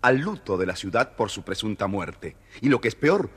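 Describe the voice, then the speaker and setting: Low-voiced, expressive male storyteller. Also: moderate pace, breathy edge. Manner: expressive, addresses young listeners directly. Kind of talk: dramatised scripted narration for children.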